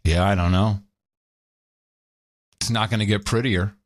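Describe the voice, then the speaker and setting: A man co-hosting a podcast in casual chat, excitable and quick to laugh. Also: lowish voice, no echo. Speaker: podcast co-host.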